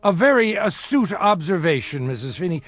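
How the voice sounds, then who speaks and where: An actor playing a boozy middle-aged small-time bookie in an audio drama, a glib, self-deprecating charmer and doting father given to theatrical flattery. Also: high voice, normal speed. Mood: breezy, flattering.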